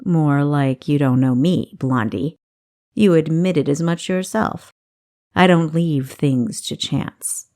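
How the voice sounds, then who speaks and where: A woman voicing a cocky, smooth-talking young male thief in a fantasy story, sly and boastful. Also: low voice, slow, a little mellow. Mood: boastful, persuasive.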